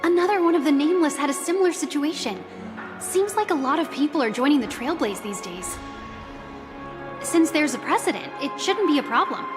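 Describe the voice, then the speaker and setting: A woman's voice: high voice, animated, fairly quick. A woman playing a formal, courteous hotel receptionist in a video game, polite but firm. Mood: apologetic, firm. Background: game music.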